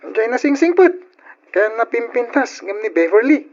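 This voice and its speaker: Excitable high-voiced teasing young cousin. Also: male voice, moderate pace. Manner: teasing, animated. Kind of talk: old radio drama acting. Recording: dull old radio drama recording.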